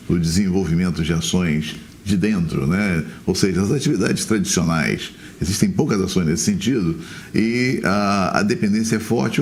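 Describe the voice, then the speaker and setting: A Brazilian man answering in an interview as an expert guest, with halting phrasing and frequent tag questions. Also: deep voice, darkish tone. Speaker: guest economist and university professor.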